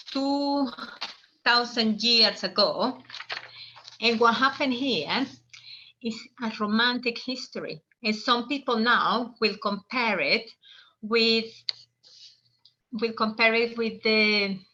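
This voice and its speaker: A woman's voice: on the high side, bright, forceful tone, muffled recording. A woman from El Salvador hosting a live online origami lesson, explaining in a friendly, meandering way with restarts. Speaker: workshop host.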